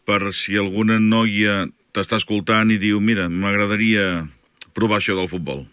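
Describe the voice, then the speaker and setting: A man's voice: fairly low pitch, steady tone, a little slow, a bit bright, smooth, no echo, clean studio sound. A male radio host asking friendly, conversational follow-up questions in a casual interview.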